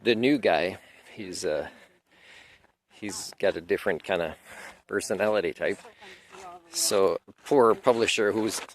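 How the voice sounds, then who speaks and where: A man whose first language is French talks on in a loose, wandering monologue with an aggrieved edge. His voice sits on the low side. He stops now and then to clear his throat. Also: unhurried, pauses often. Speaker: video lecturer. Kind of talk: unscripted monologue.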